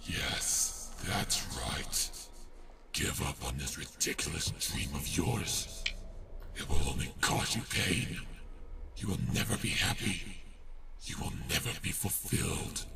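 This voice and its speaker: Very deep, gravelly, cruel monster. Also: male voice, noisy background, heavily acted. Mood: sinister, discouraging. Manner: slow, menacing, taunting. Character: cruel monster, voice of despair, taunting and insidious. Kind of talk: dramatic fan fiction reading.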